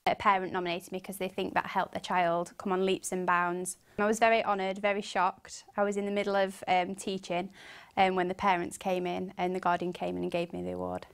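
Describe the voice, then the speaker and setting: A woman's voice: on the low side, monotone, brisk, clear, resonant tone. A young woman, a primary school teacher, speaking informally in an interview.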